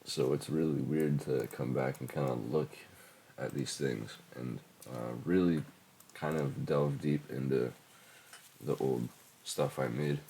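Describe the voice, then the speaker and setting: A man's voice: extremely low pitch, steady tone, takes it slowly, creaky, dark tone, a little hiss or hum. A young man in his late teens with a heavy cold and a stuffed-up voice, rambling casually with lots of fillers and swearing as he reviews his old music. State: congested from a cold. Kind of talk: casual screen-recording commentary.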